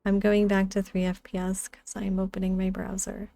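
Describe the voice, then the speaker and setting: A woman game streamer chats casually in a soft, mellow tone. Her voice is close and dry, like an informal livestream commentary with an easygoing flow. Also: mid-range voice, monotone, moderate pace, creaky, no room sound, soft background music.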